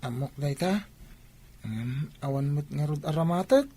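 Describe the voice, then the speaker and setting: Mellow, earnest Filipino schoolchild. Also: mid-range voice, lively intonation, a little slow, breathy edge. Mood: dejected. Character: earnest, hard-up schoolchild pleading with mother. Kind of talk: Filipino radio drama acting.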